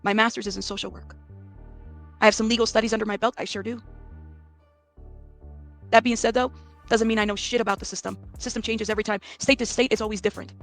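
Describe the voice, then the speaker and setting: An American woman hosts an informal commentary, talking quickly in a slightly high voice. She is blunt and emphatic, leaning on rhetorical questions and frequent check-ins as she explains. Faint music sits behind her. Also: fairly even intonation. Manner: emphatic, blunt, with rhetorical questions and tag words like okay and right. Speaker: commentary channel host.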